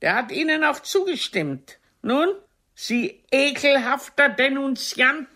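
Wounded aging theatre diva, radio drama, bitter and deliberate, very dramatic up and down delivery. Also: female voice, high voice, lively intonation, sharp tone, full character voice. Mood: bitter, accusing. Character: aging, proud, wounded Viennese stage diva, bitterly accusing. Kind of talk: German radio play acting.

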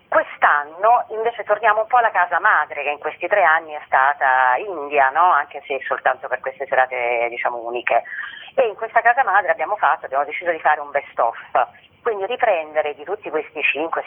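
A man giving an informal, wordy presentation of a theatre programme, often digressing and correcting himself. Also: fairly high pitch. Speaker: theatre company member presenting a festival programme. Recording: tinny, narrow phone or radio sound.

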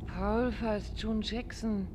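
A woman's voice: mid-range voice, fairly even intonation, takes it slowly, lightly acted. A woman playing a detective's thoughtful, lightly ironic wife in a 1950s German radio play, conversational and musing. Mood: puzzled. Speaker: radio drama actor. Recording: noisy 1950s radio recording.

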